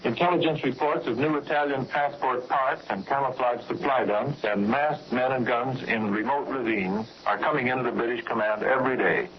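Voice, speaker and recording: A man working as a radio correspondent, giving a scripted 1940s news report with a measured storytelling delivery over a shortwave broadcast. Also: medium pitch, dull audio.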